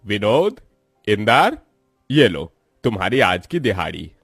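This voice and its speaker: Man acting a low-voiced fatherly older merchant. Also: sing-song, slow, vocal fry, fairly bright tone, breathy edge, dry recording, clean studio sound, soft background music, heavily acted. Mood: fatherly, approving. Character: kindly older male grain merchant, fatherly employer. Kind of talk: moral-story cartoon voice acting.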